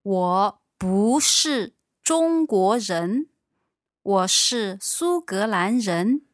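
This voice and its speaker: A woman from China, a native Mandarin speaker, gives model sentences very slowly and deliberately. Her pitch sits in a middle range. The voice sounds close and dry. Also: expressive, no echo. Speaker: language teacher. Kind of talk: podcast language lesson.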